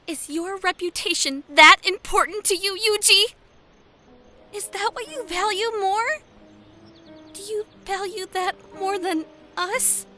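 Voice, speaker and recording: Woman acting a piercingly high anime schoolgirl. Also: unhurried, sharp tone, soft background music, full character voice. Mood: hurt, accusing. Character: high-voiced anime schoolgirl, intense and emotional. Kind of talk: anime-style audio drama acting.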